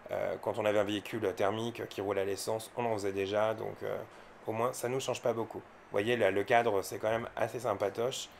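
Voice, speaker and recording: A man's voice: lowish voice, little pitch movement, fairly quick, gravelly, a little hiss or hum. A man from France giving casual, unscripted vlog commentary, explaining things informally and with some hesitation. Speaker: electric car owner and vlogger.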